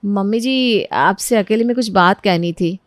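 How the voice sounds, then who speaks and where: A woman's voice: mid-range voice, unhurried, no echo, studio quality, lightly acted. A woman voicing a proud, scheming young daughter-in-law with a falsely sweet, insistent manner in a moral story. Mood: sweetly persuasive. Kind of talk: Hindi moral story character acting.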